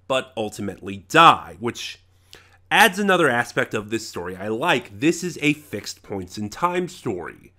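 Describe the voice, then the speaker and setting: A man's voice: medium pitch, sharp tone. An American man giving a casual, unscripted review in a conversational, rambling way, often correcting himself. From United States. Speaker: audio drama reviewer.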